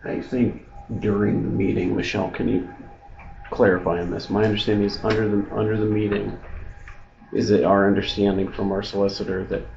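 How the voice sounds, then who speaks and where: A man chairing a formal government meeting as an official, choosing procedural wording carefully and haltingly. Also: on the low side, flat delivery, creaky, darkish tone. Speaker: county commissioner chairing the meeting. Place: indoor meeting room. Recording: noisy, muffled, echoey meeting-room audio.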